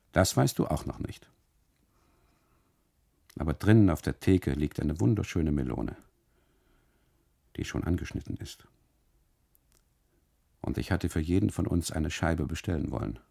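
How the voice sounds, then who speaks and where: Low, gravelly man narrating with lively swings. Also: no room sound, clean studio sound. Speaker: narrator of a literary radio monologue. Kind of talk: dramatic first-person literary narration with acted dialogue.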